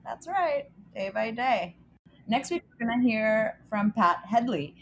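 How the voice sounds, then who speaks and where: A woman's voice: a little high, animated, unhurried, a little hiss or hum. A woman from El Salvador co-hosting a podcast in a casual, chatty way, reading short news items and reacting to them. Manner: upbeat, chatty, reads news items aloud. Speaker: podcast co-host.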